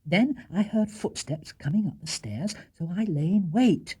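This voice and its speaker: Man voicing an earnest, lively young bear. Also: high voice, measured pace, husky, pitched above the performer's own voice, heavily acted. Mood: patient explaining. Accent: British. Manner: earnest, matter-of-fact explaining. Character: earnest young male bear playing detective.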